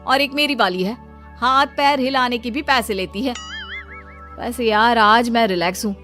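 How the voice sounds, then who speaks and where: Woman as a high-voiced, sneering, callous friend. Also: moderate pace, sharp tone. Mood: mocking. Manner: scornful, blunt. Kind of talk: Hindi cartoon story dubbing. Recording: music behind the voice.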